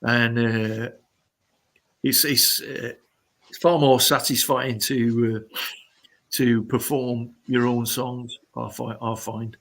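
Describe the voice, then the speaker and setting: A middle-aged or older man, a musician chatting casually and haltingly as an interview guest. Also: medium pitch, flat delivery, vocal fry, a bit bright. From Sheffield, England.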